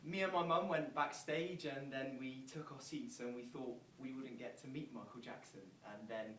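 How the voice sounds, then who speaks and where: A man's voice: mid-range voice, normal speed, a bit bright, natural voice. Earnest fan recounting memories, verbatim theatre, played by a man. Distant mic, faint noise. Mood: excited, awed. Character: earnest football fans recalling a celebrity visit, verbatim theatre. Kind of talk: verbatim monologue performed on stage. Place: theatre performance space, distant microphone. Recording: roomy stage recording from afar, faint noise.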